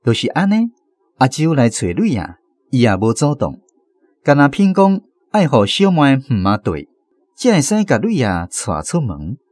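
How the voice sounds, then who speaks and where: A man with a Taiwanese accent narrating a radio drama in a folksy, expressive storytelling manner. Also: mid-range voice, normal speed.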